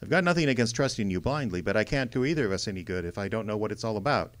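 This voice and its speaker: An actor playing a world-weary, wry private detective in a radio drama, blunt and dry in manner. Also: male voice, lowish voice, lively intonation, rapid-fire, natural voice. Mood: probing, sardonic. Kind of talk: old-time radio detective drama acting.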